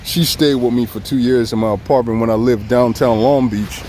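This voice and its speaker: Male vlogger with a Southern Californian accent, mid pitch, relaxed and repetitive, recorded outdoors at the beach over faint surf. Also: normal speed, a little hiss or hum. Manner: relaxed, rambling, repeats himself, chuckles often. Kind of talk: casual tribute vlog. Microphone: phone or camera filming himself.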